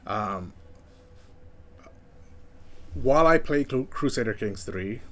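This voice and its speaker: A young man with a slight lisp, chatting casually as a first-time streamer in a flat, monotone way with many fillers. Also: medium pitch, slow pace. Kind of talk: casual chat.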